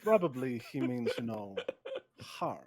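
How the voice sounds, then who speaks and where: A man playing a calm, loyal, wry Spanish swordsman in a table read. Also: mid-range voice, slow, a little hiss or hum.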